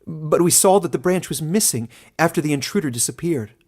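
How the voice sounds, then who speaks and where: A man's voice: a little high, a little fast, a little mellow, breathy edge, voice pushed up. A man voicing a timid village woman, a fearful mother who speaks hesitantly and deferentially in a fantasy audiobook.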